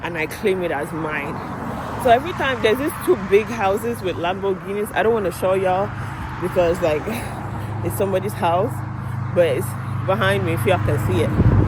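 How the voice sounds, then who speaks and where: Outspoken Nigerian woman vlogging on the street. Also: medium pitch. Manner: animated, outspoken, swears freely. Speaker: vlogger, medical assistant. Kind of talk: casual vlog chat while walking. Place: outdoors on a residential street. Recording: street with passing traffic noise. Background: cars and street ambience.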